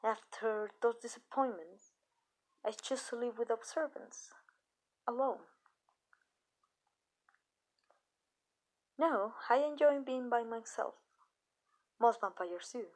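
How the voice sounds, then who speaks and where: A woman playing a calm, possessive vampire owner in an ASMR roleplay, speaking softly and deliberately with pauses. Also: fairly high pitch, close to an everyday voice. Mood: wistful, measured. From Mexico. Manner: measured, deliberate, soft-spoken with pauses. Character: calm, possessive female vampire owner addressing her human. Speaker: amateur voice actress.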